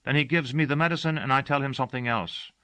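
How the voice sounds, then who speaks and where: A man voicing a cheerful, excitable heavy-set servant who keeps forgetting things. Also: mid-range voice, fast talker, smooth, voice pushed up, close to an everyday voice.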